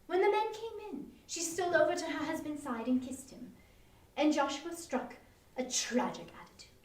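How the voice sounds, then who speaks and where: Female story reader: high, expressive pitch, dramatic narration, some distance from the mic. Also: moderate pace, roomy sound. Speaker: reader at a live online performance.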